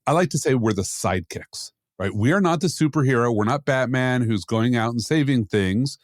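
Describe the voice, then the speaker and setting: A man of average pitch talks as a podcast co-host. He is casual and opinionated, making points bluntly and with emphasis. The recording is dry, with no room sound. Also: expressive, normal speed, no room sound. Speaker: podcast co-host, security expert.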